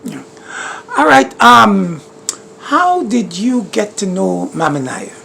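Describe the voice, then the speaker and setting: A woman interviewing a guest, asking slow, encouraging questions. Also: mid-range voice, sing-song, vocal fry, pushed and bright, slight background noise.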